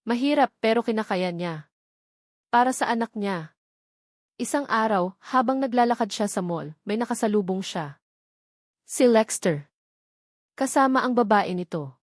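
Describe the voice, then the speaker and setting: A Filipino woman narrates a dramatic audio story in a mid-pitched voice. The sound is dry, with very little room around her, and the delivery is scripted storytelling. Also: a little mellow, no echo.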